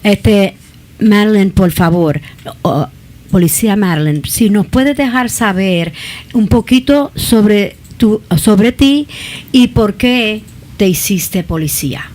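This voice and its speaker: A woman's voice: medium pitch, expressive, slow, a little mellow. Bilingual woman hosting an interview. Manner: switches between Spanish and English, asks questions with fillers. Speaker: talk show host.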